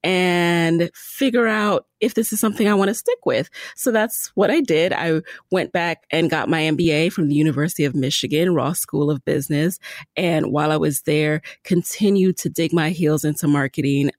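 A woman's voice: low voice, moderate pace, dry recording, studio quality. A woman in her thirties with an American accent, telling her own story conversationally as a podcast guest. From born in Jamaica, raised in the Bronx, New York.

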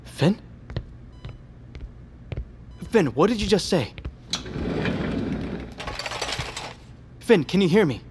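A man's voice: sits high, sing-song, fairly quick, noisy background. An actor playing a wary, exasperated man guarding his patient in an audio drama, anxious and questioning. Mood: urgent concern. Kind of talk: scripted audio drama acting.

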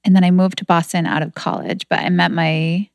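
A woman in her thirties from New Hampshire tells her story as a guest on a relaxed podcast. She sounds chatty and laughs often, with a slightly low voice that comes across dry and close. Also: brisk, vocal fry, smooth, no echo. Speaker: podcast guest, fitness entrepreneur. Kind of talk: casual interview chat.

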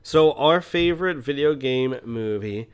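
A man's voice: mid-range voice, expressive, a little slow, clear, resonant tone. A man hosting a film review podcast in casual conversation, often checking in with short questions. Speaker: podcast host.

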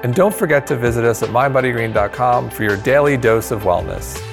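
A man's voice: mid-range voice, very animated, brisk, creaky, over music. A man hosting an interview podcast, enthusiastic and full of praise for his guest. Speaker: podcast host.

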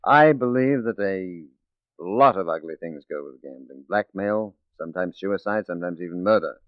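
An actor playing a shrewd, determined police detective in a 1940s radio drama, speaking in a measured, persuasive way. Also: male voice, deep voice, expressive, no echo. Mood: grave, resolute. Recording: muffled 1940s radio recording.

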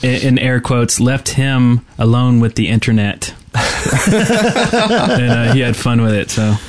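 A man chats casually as a podcast co-host. He has a medium-pitched voice and a wry, joking way of putting things. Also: lively intonation, slow pace, no room sound. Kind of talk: casual chat.

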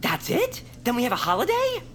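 An eager, wildly expressive young hero. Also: female voice, high voice, fairly quick, slight background noise, exaggerated voice. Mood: excited. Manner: excitable, hesitant, stammering. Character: Noah, earnest, eager young peepkin hero planning cheer. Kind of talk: family audio drama acting.

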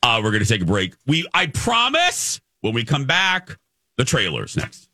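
Animated man chatting, very lively pitch. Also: dry recording, clean studio sound. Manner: animated, effusive, halting and repeating himself. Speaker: radio morning show host. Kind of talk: casual chatty radio talk with personal anecdotes.

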